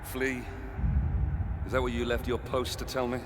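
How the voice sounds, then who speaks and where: An actor playing a stern, battle-hardened Roman general in a video game, commanding and grave, speaking like an orator. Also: male voice, mid-range voice, completely flat delivery, normal speed, lightly acted. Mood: angry rebuke. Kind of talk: dramatic video game acting. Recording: battle noise behind the voice.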